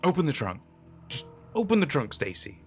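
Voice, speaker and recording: Man acting out a terrified, raving young man, gravelly. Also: a little high, big pitch swings, normal speed, soft background music. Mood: pleading. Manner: frantic, stammering, pleading. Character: terrified young man losing his mind, paranoid and raving.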